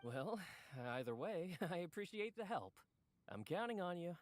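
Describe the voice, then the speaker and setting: A man playing a polite, earnest book editor in a video game, explaining things in a courteous, animated way. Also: sits high, moderate pace.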